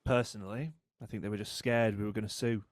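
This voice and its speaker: An actor playing a cool, needling British man in a drama, a wronged brother with a sardonic, deliberate way of talking. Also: fairly low pitch, fairly even intonation, close to an everyday voice. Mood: cool, knowing. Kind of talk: audio drama acting.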